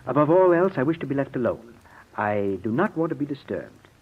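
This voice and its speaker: An actor in an old radio drama playing a moody, scheming composer, formal and easily irritated. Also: male voice, medium pitch, very animated, a little fast, slightly rough. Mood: formal, aloof. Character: moody, imperious, scheming composer, brother of dead sisters. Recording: muffled old radio recording.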